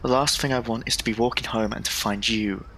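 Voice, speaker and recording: A man playing an earnest, headstrong young gentleman in a period audio drama, formal and protective in manner. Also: lowish voice, fairly even intonation, a little fast, slight background noise, low-quality recording. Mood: earnest, concerned.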